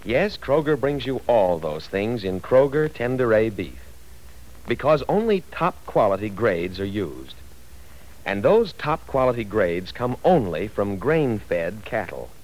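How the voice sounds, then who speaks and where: Earnest low-voiced vintage radio announcer. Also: male voice, big pitch swings, unhurried, a little mellow, low-quality recording. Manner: earnest, persuasive.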